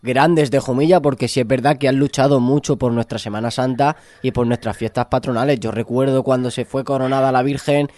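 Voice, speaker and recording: A man's voice: mid-range voice, steady tone, a little fast, hardly any pauses, a little mellow. A man with a Spanish accent interviewing informally and effusively from a radio studio, in a conversational style. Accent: Spanish (Spain).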